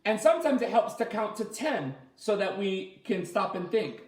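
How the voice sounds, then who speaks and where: A man leading a children's story time as a teacher, reading aloud and asking the listeners simple questions in an animated way. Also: sits high, moderate pace. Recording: roomy, echoey sound.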